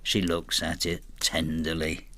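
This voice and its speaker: An actor in a radio drama playing a plain-spoken working man in his sixties, telling his story in a measured, understated way. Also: rumbling low, completely flat delivery, pushed and bright, full character voice. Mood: brooding, wry. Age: 60s. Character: retired working-class widower, plain-spoken, quietly bitter husband. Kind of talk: radio drama narration and dialogue.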